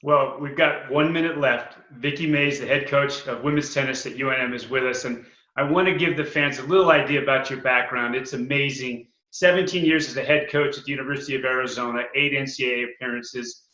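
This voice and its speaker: Bright-voiced man hosting, echoey dull audio. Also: mid-range voice, brisk. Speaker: show host. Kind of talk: radio-style interview hosting.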